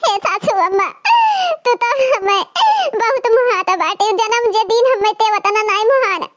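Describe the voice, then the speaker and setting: A woman voicing a devoted, apologetic young daughter-in-law in a village cartoon, with an Awadhi accent and a squeaky, pitched-up voice. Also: unhurried, a bit bright. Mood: tearful pleading. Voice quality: squeaky, childlike. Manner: pleading, deferential. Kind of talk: village cartoon comedy acting.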